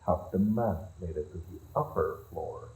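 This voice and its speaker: Man playing a deep-voiced haughty evil sorcerer. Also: normal speed, darkish tone, slightly rough, low-quality recording. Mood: commanding, impatient. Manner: theatrical, condescending, musing. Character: haughty evil male sorcerer leading a raid. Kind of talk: scripted roleplay audio drama acting.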